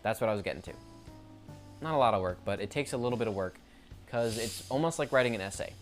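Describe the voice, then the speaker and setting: A man's voice: fairly low pitch, expressive, quick pace, faint music behind. A man talking casually to camera in an unscripted monologue, rambling and correcting himself mid-sentence, with the odd stutter. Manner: stutters now and then. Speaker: YouTuber.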